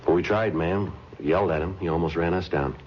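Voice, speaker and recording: An actor playing a stoic, matter-of-fact police detective in a 1950s radio drama, speaking tersely and flatly. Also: male voice, sits low, a little fast, slight background noise, lightly acted. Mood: grave, restrained. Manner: terse, flat, deadpan. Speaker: lead actor and narrator. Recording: muffled 1950s radio recording with music.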